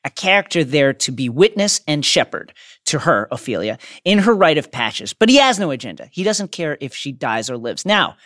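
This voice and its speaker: A man's voice: fairly high pitch, sing-song, a little fast, a bit bright, no echo, studio quality. A man co-hosting a podcast, explaining films in an enthusiastic way from partly prepared notes. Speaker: podcast co-host. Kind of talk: film discussion, partly prepared notes with quotes.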